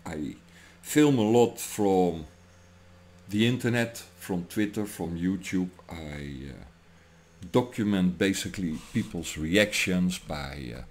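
Slow-talking Dutch-accented man in his sixties. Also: fairly low pitch. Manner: digressive, tags lines with "huh?", laughs between thoughts. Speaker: political commentary vlogger. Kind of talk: rambling monologue. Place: home room, indoors. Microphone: webcam at desk.

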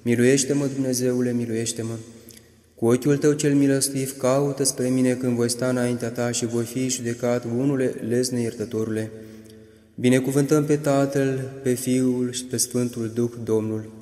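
A man reading prayers aloud in a solemn, measured, liturgical manner, lifting his voice on each plea. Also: medium pitch, level tone, dark tone, roomy sound, in a large hall. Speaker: prayer reader.

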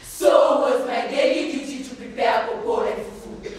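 Female teenager, Kenyan accent, dramatic spoken-word recitation, emphatic pauses, distant microphone, crowd behind. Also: a little high, darkish tone. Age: teens. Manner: theatrical, emphatic, with deliberate pauses. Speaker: high school student reciting a poem. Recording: echoey hall from far off, crowd noise.

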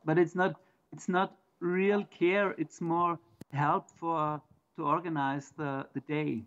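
A man answering a conference question in halting English, with many pauses and restarts. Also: fairly high pitch, steady tone, fairly bright tone, no echo, muffled recording. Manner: hesitant, halting non-native English. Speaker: remote presenter answering questions.

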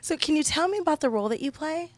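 An American woman in her thirties hosting a promotional interview, gushing and animated. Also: high-pitched, fast talker, no room sound. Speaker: interviewer, host. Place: indoor studio backdrop. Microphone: handheld microphone.

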